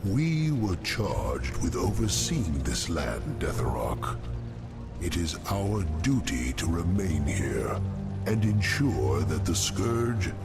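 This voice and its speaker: A man's voice: sits low, very animated, slow, full character voice. An actor voicing an ominous, haughty male demon lord in a video game cutscene, with a grave and imperious delivery. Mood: stern. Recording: loud music behind the voice.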